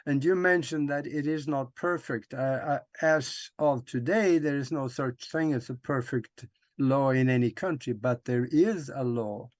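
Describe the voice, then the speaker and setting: A man from Norway hosting an interview, asking deliberate, measured questions. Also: mid-range voice, no room sound, studio quality. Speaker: interview host.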